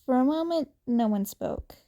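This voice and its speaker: Mellow high-voiced woman reading a story. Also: lively intonation, normal speed. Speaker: fan fiction reader. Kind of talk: scripted story narration.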